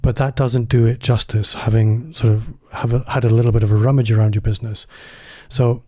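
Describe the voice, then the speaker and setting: A man's voice: medium pitch, level tone, brisk, warm tone, no room sound, clean studio sound. A man hosting a business podcast, chatty and a little self-deprecating, phrasing his questions loosely. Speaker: podcast host. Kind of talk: podcast interview.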